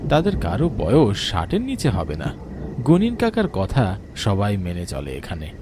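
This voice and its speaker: A man's voice: fairly low pitch, huge pitch swings, unhurried, noisy background. A man narrating a scripted, dramatic spooky story, with music underneath.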